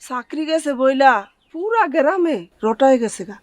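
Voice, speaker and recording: An actress playing a chatty, inquisitive village auntie in a drama, talking in a familiar, gossipy way with a rural Bangladeshi accent. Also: female voice, high-pitched, animated, moderate pace, darkish tone, no room sound, clean studio sound. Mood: nosy, concerned. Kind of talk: TV drama acting in dialect.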